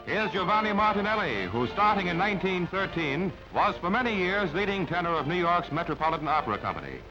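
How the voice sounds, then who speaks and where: A man with a notably high voice announces newsreel items from a script. He sounds formal and declamatory. The old recording is dull and narrow, with music running underneath. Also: lively intonation, quick pace. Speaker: newsreel narrator. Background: background music.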